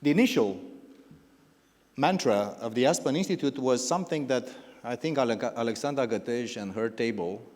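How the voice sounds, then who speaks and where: A middle-aged man with a Romanian accent gives a halting welcome speech as founding president, restarting his phrases and piling up lists of words. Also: medium pitch, lively intonation, darkish tone. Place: large indoor gala hall. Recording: reverberant banquet hall over a PA.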